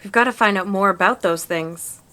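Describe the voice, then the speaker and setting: A woman's voice: medium pitch, normal speed, pushed and bright, close to an everyday voice. Plucky, casual young woman voiced by an actress. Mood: resolved. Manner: informal, matter-of-fact. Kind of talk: visual novel fan dub.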